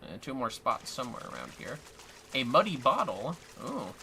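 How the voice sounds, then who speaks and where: A man's voice: medium pitch, huge pitch swings, normal speed, gravelly, pushed and bright, slight background noise. A man chatting casually on a gaming livestream, joking and swearing as he plays. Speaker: game streamer. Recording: music behind the voice.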